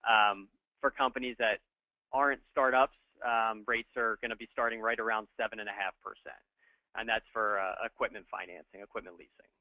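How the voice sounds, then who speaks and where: A man explaining equipment financing in a steady, plain way during a webinar. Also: fairly low pitch, fairly quick, dry recording. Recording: tinny phone-like line.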